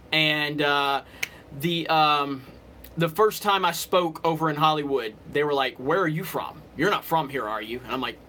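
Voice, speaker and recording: A man's voice: a little high, pushed and bright. A man from Alabama with a slight Southern US accent, hosting a casual livestream and chatting off the cuff with commenters in a rambling way. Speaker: livestream host. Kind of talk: casual live chat with commenters.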